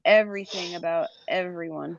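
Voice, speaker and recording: A woman's voice: on the low side, fairly even intonation, moderate pace, vocal fry, dull audio, a loud crowd. A woman co-hosting a casual group chat show. She explains things at length in a chatty way. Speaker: podcast co-host.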